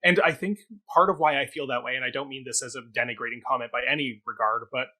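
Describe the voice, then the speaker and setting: A man's voice: mid-range voice, fairly even intonation, fast talker, bright, forceful tone. A man co-hosting a theatre review talk, speaking conversationally in an articulate, wordy way with careful hedging.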